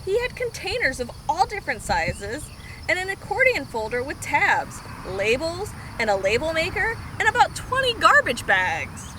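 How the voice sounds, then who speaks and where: A woman reads a children's story aloud in a high voice. Her delivery is expressive, with big swings in pitch. It is recorded outdoors on a camera, with clear noise around her. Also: moderate pace. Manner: expressive, animated. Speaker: storytime reader. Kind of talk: children's picture book read-aloud.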